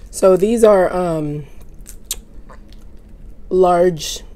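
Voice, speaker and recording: A young woman with a sick, raspy, hoarse voice, talking slowly and casually between bites with some whispered asides. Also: on the low side, warm tone. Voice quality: raspy, losing her voice. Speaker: mukbang host. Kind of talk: casual eating show chat. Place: home room, indoors.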